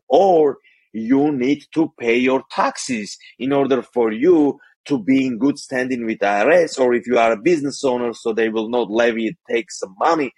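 A man's voice: medium pitch, darkish tone, husky, no echo. A man from Armenia with an Armenian accent, a guest on an interview podcast, explaining money tips carefully with some non-native phrasing. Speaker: guest, financial coach.

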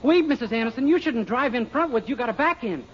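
An actor playing a naive, eager young clerk, guileless and deferential, in a 1940s radio sitcom. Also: male voice, extremely high pitch, animated, fast talker. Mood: meek, sheepish. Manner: guileless, gee-whiz, deferential. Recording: muffled, very noisy 1940s radio recording.

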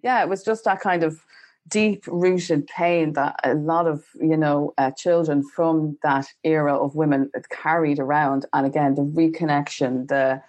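Woman podcast host from Ireland, deep voice, rambling. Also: steady tone, a little slow, a little mellow. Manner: rambling, many you knows and likes. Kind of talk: podcast conversation.

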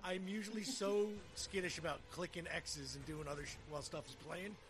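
A man's voice: fairly high pitch, brisk, noisy background. A man hosting a podcast in casual chat, with a jokey, self-deprecating manner. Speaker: podcast host. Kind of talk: casual chat about games and music.

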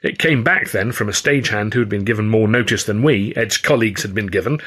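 A man reading first-person fiction as an expressive audiobook narrator, acting out the dialogue as he goes. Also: fairly low pitch, fast, slightly rough. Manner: expressive storytelling with acted dialogue. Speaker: author reading own novel.

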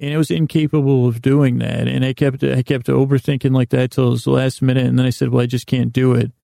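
A middle-aged American man hosting a podcast with a meandering, halting bedtime monologue, often repeating himself and trailing off. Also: mid-range voice, flat delivery, gravelly, warm tone, dry recording, clean studio sound. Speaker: podcast host.

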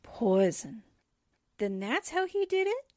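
An actress playing a jumpy, wisecracking American newspaperwoman sidekick in a 1940s radio drama, comically frightened with drawn-out exclamations. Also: fairly high pitch, very animated, darkish tone, dry recording, studio quality. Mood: incredulous.

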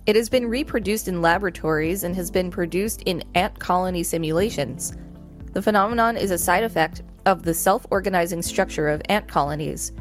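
A woman's voice: on the low side, fairly quick. A woman co-hosting a podcast, reading an encyclopedia article aloud and adding casual commentary. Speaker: podcast co-host. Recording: music behind the voice. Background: music.

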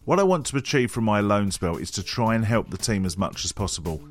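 A man's voice: on the low side, lively intonation, quick pace, faint music behind. A man reading a player question-and-answer piece aloud, lifting each prompt and dropping into the answers. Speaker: reader.

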